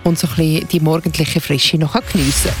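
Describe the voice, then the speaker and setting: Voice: mid-range voice, steady tone, fairly quick. A morning radio host with a Swiss German accent, chatting casually in the studio. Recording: studio voice over clear music. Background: music.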